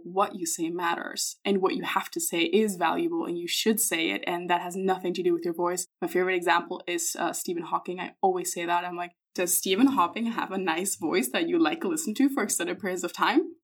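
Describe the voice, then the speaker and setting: A woman's voice: lowish voice, fairly even intonation, a little fast, hardly any pauses. A woman hosting a podcast, talking emphatically and passionately in a casual interview. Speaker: podcast host.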